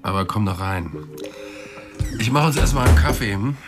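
An actor playing a chatty, cynical debt collector in a German radio drama, casual and wry, with chummy menace. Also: male voice, lowish voice, animated, moderate pace, loud music behind the voice, lightly acted. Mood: chummy, teasing. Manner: casual, wry, matter-of-fact about threats.

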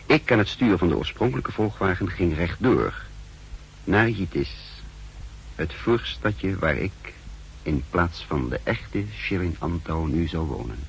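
A man playing a secret agent who recounts his mission in formal, measured words in a 1960s radio play. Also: deep voice, animated, vocal fry, exaggerated voice, low-quality recording. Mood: measured, brooding. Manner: formal, measured, literary diction. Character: uneasy male secret agent impersonating his double, recounting events. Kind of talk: 1960s radio play first-person narration. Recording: hissy, humming 1960s radio recording.

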